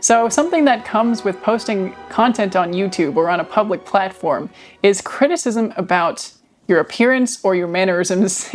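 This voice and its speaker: A woman with a deep voice telling a reflective personal story to camera, seated at home by a nearby microphone, laughing softly between phrases. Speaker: vlogger. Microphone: microphone near her.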